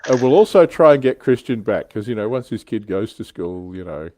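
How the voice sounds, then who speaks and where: A man's voice: on the low side, animated, a little fast, background noise. A man with an Australian accent hosting a casual livestream panel chat. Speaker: host of a livestream.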